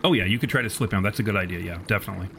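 A man's voice: fairly low pitch, very fast, creaky, fairly bright tone, slight background noise. A man improvising narration as game master of a tabletop roleplaying game, with wordy, storyteller phrasing.

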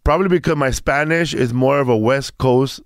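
A man's voice: medium pitch, moderate pace, smooth, no room sound, clean studio sound. Rambling man in his fifties, storytelling. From Mexico. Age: 50s. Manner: storytelling, loose and rambling with many restarts. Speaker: comedian guest. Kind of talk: casual chat.

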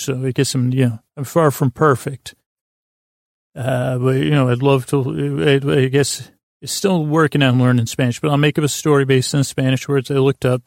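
A man with a tired, gravelly creak hosts a bedtime podcast. He meanders gently in an even, subdued line, hesitating and doubling back with self-deprecating asides. The sound is close and dry. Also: mid-range voice, no echo. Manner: gentle, soothing, aimed at putting listeners to sleep. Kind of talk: rambling bedtime monologue.

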